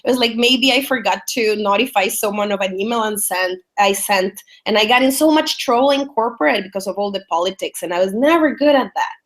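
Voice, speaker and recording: A woman's voice: mid-range voice, fairly quick, few pauses, a bit bright. A woman in her thirties from El Salvador with a Salvadoran Spanish accent, telling her story as a webinar guest, chatty with many fillers and restarts. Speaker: guest speaker. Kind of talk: webinar interview talk.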